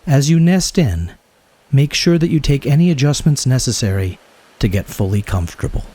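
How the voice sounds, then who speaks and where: A man guiding a yoga nidra meditation in a soothing, unhurried way, speaking in short phrases. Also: medium pitch, sing-song, dark tone, husky. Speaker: guided meditation teacher.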